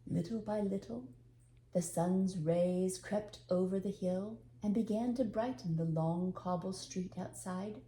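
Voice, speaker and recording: Mellow older woman telling a story, roomy. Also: mid-range voice, measured pace. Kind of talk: expressive storybook narration.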